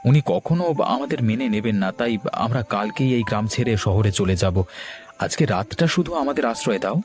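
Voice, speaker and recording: An actor playing an earnest, respectful young newlywed son in a cartoon, pleading and hurt. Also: male voice, medium pitch, expressive, a little fast, over music, lightly acted. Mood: dejected. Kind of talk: Bengali cartoon voice acting.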